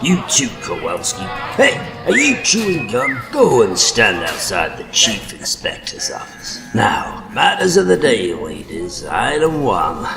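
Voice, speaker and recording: A man's voice: fairly low pitch, huge pitch swings, a little slow, vocal fry, few pauses, over music, exaggerated voice. A man playing a brusque, commanding police sergeant in a cop-show parody audio drama. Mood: brusque, exasperated. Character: brusque police sergeant barking at roll call. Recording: echoey hall, noisy.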